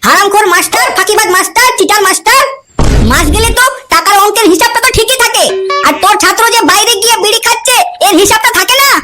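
A performer voicing a loud, foul-mouthed, hot-tempered village father in a comic cartoon skit, scolding in an artificially pitched-up voice. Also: fast talker, over loud music. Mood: threatening. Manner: scolding, abusive, shouting threats.

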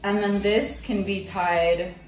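A woman's voice: medium pitch, steady tone, slow. A young woman giving a chatty, matter-of-fact review of an outfit she is trying on. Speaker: lingerie reviewer. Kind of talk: casual try-on review. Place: small bedroom indoors. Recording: very echoey room, faint music.

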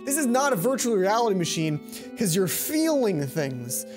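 A young adult man reading a visual novel aloud as a let's player, breaking into dramatic, expressive commentary on a headset mic. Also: high-pitched, normal speed. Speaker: let's play host. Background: game music.

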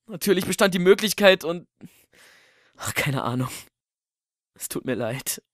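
A man's voice: high-pitched, expressive, dry recording, studio quality. Despairing trapped man, acted by a man. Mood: guilty. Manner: halting, resigned, pleading. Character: lonely, despairing man trapped in his house, apologetic.

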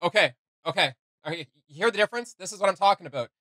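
A young adult man giving casual, animated reaction commentary as a host. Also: sits high, very fast, dry recording. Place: small indoor room with gaming setup.

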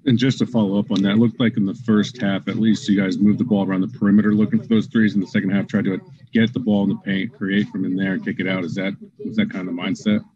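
A man, a TV sports reporter, questions a coach over a video call headset. He talks fast in a low voice, and the call audio sounds dull and lo-fi. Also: flat delivery, dark tone.

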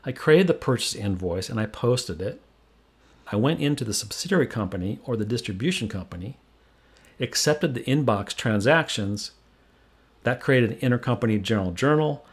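An American man narrates a software tutorial in a mid-pitched voice with a noticeably husky edge. He explains things plainly, one step at a time, as a demo presenter. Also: animated, moderate pace.

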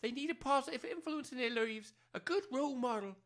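A woman's voice: sits high, fairly quick, lightly acted. A woman playing an anxious, pleading working-class village mother in an amateur comedy audio drama, flustered and apologetic. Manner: flustered, apologetic, non-standard grammar.

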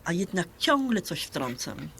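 A woman's voice: fairly low pitch, big pitch swings, a little slow, creaky, fairly bright tone, a little hiss or hum, full character voice. An actress in a radio drama playing a brash, flirtatious Polish woman around sixty who banters and peppers her Polish with English words. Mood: cheeky.

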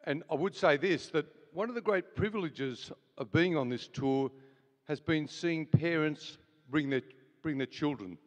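Earnest host in a big echoing hall. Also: male voice, fairly high pitch, measured pace, highs cut off. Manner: earnest, with frequent fillers and restarts. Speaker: event host. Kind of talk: welcome and opening prayer at a church event. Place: large hall with live audience. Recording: reverberant large hall.

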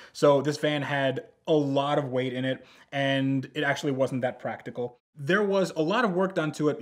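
Young man telling car stories, wry. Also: a little high. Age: young adult. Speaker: car storyteller. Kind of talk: casual personal storytelling to camera. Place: indoor decorated studio set, seated.

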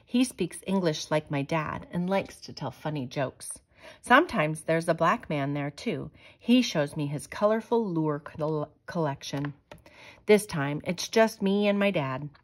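A woman reading a children's picture book aloud in a measured, expressive way. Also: low voice. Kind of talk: storybook read-aloud.

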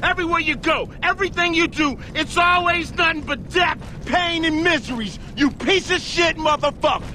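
An actor playing a menacing, embittered gang boss and fuel baron in a video game, with a profane, swaggering, confrontational manner. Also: male voice, very high voice, moderate pace, noisy background. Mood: enraged, grieving. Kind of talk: video game cutscene acting.

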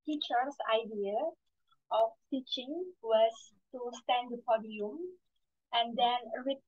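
A young woman with an Indonesian accent, a student answering speaking-test questions over a headset on a video call, hesitant and full of fillers and repeated words. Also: on the high side. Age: young adult. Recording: dry, phone-like call audio.

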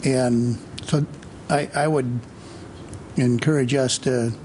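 A man speaking as a city councilmember at a council meeting, measured and hesitant with frequent fillers. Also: mid-range voice, steady tone, a little mellow, a little hiss or hum.

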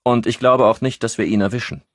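A man voicing a smug federal police officer who brushes off requests in a brisk, bureaucratic tone. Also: mid-range voice, steady tone, no echo, clean studio sound, pitched above the performer's own voice, natural voice. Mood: dismissive.

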